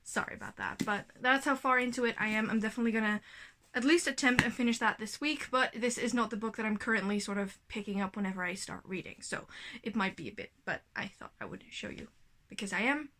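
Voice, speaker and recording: A woman's voice: fairly high pitch, steady tone, fast, bright, forceful tone, a little hiss or hum. A woman, giving a gushing, chatty reading update at home. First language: Dutch. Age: 20s. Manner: chatty, gushing, self-correcting. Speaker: book vlogger. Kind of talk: casual reading vlog update.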